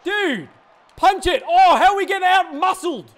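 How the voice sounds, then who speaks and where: A young man with a hoarse voice, reacting excitedly and yelling while playing a football video game. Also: very high voice, normal speed. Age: young adult. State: hoarse, says he lost his voice. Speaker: gaming YouTuber. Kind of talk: reactive gameplay commentary. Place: indoor gaming room, seated in gaming chair. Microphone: headset, facecam.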